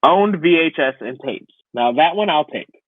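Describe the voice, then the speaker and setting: A man's voice: a little high, animated, normal speed, no echo, narrow, tinny audio. A young man who hosts a podcast, chatting casually about pop culture in a conversational way with wry asides. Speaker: podcast host.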